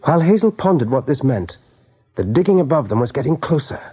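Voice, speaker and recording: A man's voice: fairly high pitch, sing-song, brisk, dark tone, slightly rough. A man narrating a scripted radio drama as storyteller. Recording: dull vintage radio recording.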